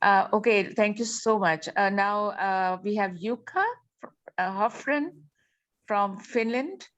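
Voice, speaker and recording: A woman hosts an online meeting on a video call. She is polite and a little halting as she hands over to participants, in a medium-pitched voice. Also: a bit bright, lo-fi sound. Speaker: meeting host.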